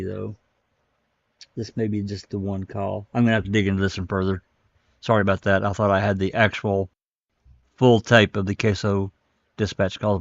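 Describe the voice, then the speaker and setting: A man hosts a casual talk stream in a low voice, thinking aloud and rambling from point to point. The audio is slightly dull, like a muffled online stream. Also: no room sound. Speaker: live stream host. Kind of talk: casual commentary on case records.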